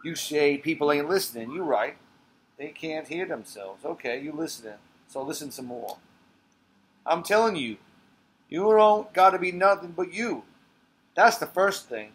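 A man's voice: on the high side, animated, normal speed, poor audio. A man reading a stage monologue as a streetwise, slangy man who gives tough life advice with insistent emphasis. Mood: insistent, preaching. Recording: clear background noise.

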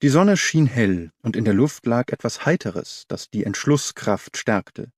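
A man's voice: medium pitch, animated, a little slow, dry recording, studio quality. A man reading scripted literary narration as an audiobook narrator.

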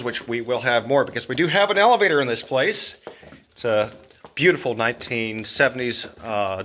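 A man's voice: medium pitch, bright, forceful tone, slight background noise. A man in his thirties hosting a casual vlog, rambling and joking at his own expense as he films himself with a handheld camera, indoors and out. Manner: rambling, self-deprecating, filler-heavy. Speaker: channel host. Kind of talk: casual vlog walk-and-talk. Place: abandoned building halls and outdoor grounds. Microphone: handheld camera held close, low angle.

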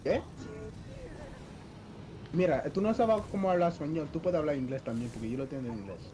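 A man's voice: on the high side, fairly quick, noisy background. A man bantering playfully in game voice chat, mixing Spanish and English as he teases. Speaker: gamer in voice chat.

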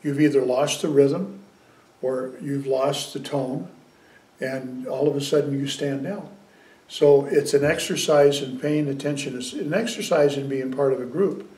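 An older man giving a dharma talk as a Zen teacher, conversational and gently humorous. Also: mid-range voice, a little slow. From United States. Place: small meditation room indoors. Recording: roomy, distant sound.

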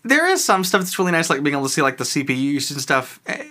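A tech video host giving a casual, rambling walkthrough of a software tool, thinking aloud while clicking. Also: mid-range voice, big pitch swings, racing.

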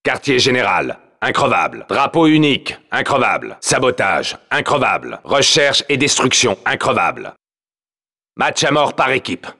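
A man's voice: mid-range voice, huge pitch swings, normal speed, gravelly, bright, forceful tone, heavily acted. An actor playing a commanding, battle-hardened male soldier who announces a multiplayer game in terse, clipped, authoritative callouts. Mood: firm, clipped.

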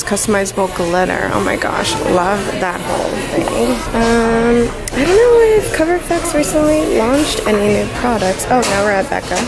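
A young woman vlogging as she browses a cosmetics store, chatty and excitable, reading product names aloud. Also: a little high, low-quality recording. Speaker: beauty vlogger. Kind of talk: casual shopping vlog narration. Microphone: handheld phone camera. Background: loud store music.

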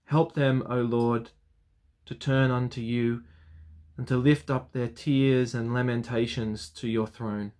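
A man's voice: mid-range voice, little pitch movement, a little mellow. A man in his thirties, a clergyman, talking to viewers on his weekly vlog and leading a solemn spoken prayer from his study. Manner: earnest, solemn, measured prayer cadence. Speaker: Anglican clergyman. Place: study with bookshelves, indoors. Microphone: webcam framing at desk.

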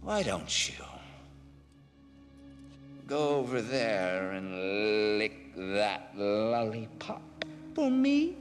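An actor voicing a flamboyant, sadistic male villain in a video game, lewd and taunting, with drawn-out words. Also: fairly low pitch, sing-song, over music, heavily acted. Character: leering, sadistic, flamboyant male psychopath in fetish gear. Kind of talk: video game cutscene acting. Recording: long hall reverb.

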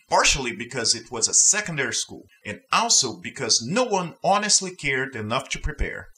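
Bright, animated man reading stories. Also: medium pitch, low-quality recording. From Brazil. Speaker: channel host reading online stories. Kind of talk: scripted story reading with personal commentary.

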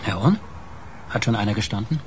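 An actor playing a sardonic, dogged investigator with a teasing, insistent manner in a German radio crime play. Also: male voice, lowish voice, animated, a little fast, darkish tone, slight background noise, close to an everyday voice. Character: sardonic, dogged male investigator pressing for answers.

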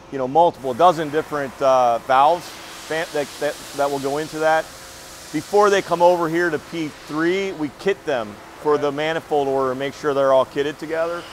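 A man with an American accent explains a factory process casually, as a staff member leading a tour. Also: on the high side. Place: large indoor factory floor. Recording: noisy factory floor with machinery hum.

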